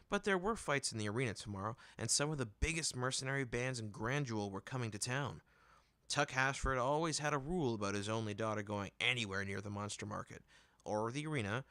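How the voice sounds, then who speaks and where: A man reading a novel aloud in an expressive storytelling manner, close to the microphone. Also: mid-range voice, dry recording. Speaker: audiobook reader. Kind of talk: scripted fiction narration. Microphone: close microphone, dry room.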